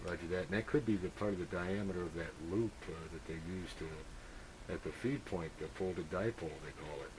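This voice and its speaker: A low-pitched male voice talks over two-way radio with clear noise around it. He is an amateur operator in casual technical conversation, musing and working things out aloud. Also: a little fast.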